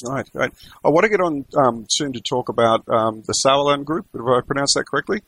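Measured male radio interviewer, dry studio sound. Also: mid-range voice, fairly bright tone, husky, no room sound.

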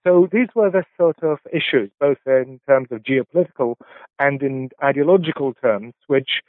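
Brief: professor in his 60s, Polish, halting and reflective, heard over a dry, tinny phone line. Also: medium pitch, no room sound. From England. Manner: halting, many filler sounds and restarts. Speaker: professor, interview guest. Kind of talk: reflective interview answers.

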